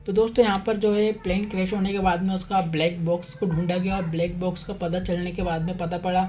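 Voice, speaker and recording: A man's voice: high voice, darkish tone, slight background noise. A man presenting an informal educational explainer, talking through points repetitively and addressing listeners as friends. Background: faint music.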